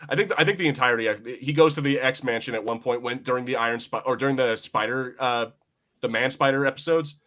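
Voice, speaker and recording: Fast, bright-voiced man chatting excitedly. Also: medium pitch. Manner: excitable, sweary, rambling. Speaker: podcast host. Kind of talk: casual chat.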